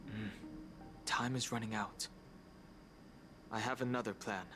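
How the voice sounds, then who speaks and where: A man voicing a calm, loyal young companion in a video game, earnest, analytical and level-headed. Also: lowish voice, a little slow. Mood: serious, resolute. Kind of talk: scripted video game voice acting. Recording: loud game music behind.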